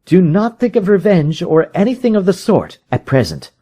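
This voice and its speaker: A man's voice: sits high, big pitch swings, moderate pace, mellow, voice pushed up. A man voicing an impatient, decisive male detective in an audiobook, with emphatic, formal Victorian diction. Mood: urgent, commanding. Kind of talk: audiobook character voice in a detective story.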